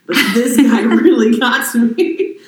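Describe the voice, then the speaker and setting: A woman from Northern California co-hosting a podcast, animated and quick to laugh, with lots of filler words. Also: on the high side. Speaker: podcast co-host and comedian. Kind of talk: casual podcast chat. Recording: roomy echo.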